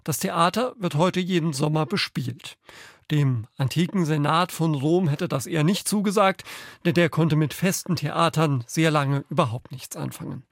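A man's voice: on the high side, moderate pace, no room sound, studio quality. A man presenting a radio programme, reading scripted introductions to features.

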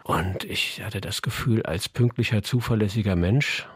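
A man in his fifties from Saarland, chatting in a relaxed radio interview as a guest and telling stories with frequent fillers. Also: lowish voice, moderate pace, breathy edge, no echo, studio quality. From Saarland, Germany. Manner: chatty storyteller, frequent fillers, light laughs. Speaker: crime novelist and bus driver, interview guest.

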